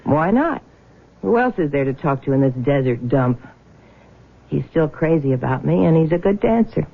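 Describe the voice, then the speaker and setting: Scheming bitter wife, low hard voice, taunting, old radio drama, muffled narrow sound. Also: female voice, very animated, moderate pace, creaky, exaggerated voice. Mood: defiant. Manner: taunting, hard-edged, dismissive. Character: scornful, restless, scheming younger wife. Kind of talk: 1970s radio drama acting. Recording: muffled, band-limited vintage radio broadcast.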